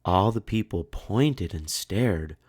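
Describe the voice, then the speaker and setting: A man with a low voice tells a children's story aloud. He takes his time, pausing dramatically, and his pitch moves expressively. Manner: measured, expressive, with pauses for suspense. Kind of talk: dramatic picture-book read-aloud.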